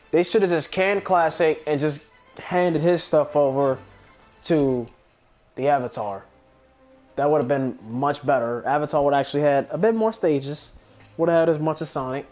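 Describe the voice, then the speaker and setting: A man's voice: on the high side, a little mellow. A man giving casual, rambling game commentary, thinking aloud and often correcting himself mid-thought. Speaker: gaming video host.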